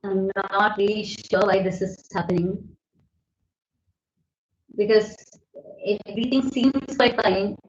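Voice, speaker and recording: A woman in her thirties with an Indian English accent, hosting a casual live Q&A from her bedroom and filming on her phone. She has a loud voice, chats easily, laughs often and thanks viewers by name. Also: medium pitch, takes it slowly, pauses often. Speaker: livestream host. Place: bedroom at home. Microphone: phone camera at desk distance. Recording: echoey room, dull phone audio, faint hiss.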